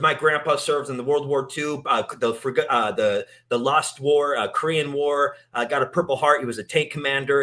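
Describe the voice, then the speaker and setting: A man's voice: fairly high pitch, sharp tone. A man hosting a casual interview, talking in long rambling turns full of restarts and fillers. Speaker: podcast host. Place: in Italy.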